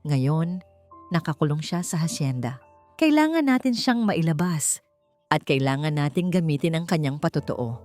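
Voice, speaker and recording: A woman's voice: deep voice, lively intonation, moderate pace, darkish tone, soft background music. Woman reading as a determined, earnest investigator. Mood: urgent, earnest. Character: determined, direct investigator seeking justice.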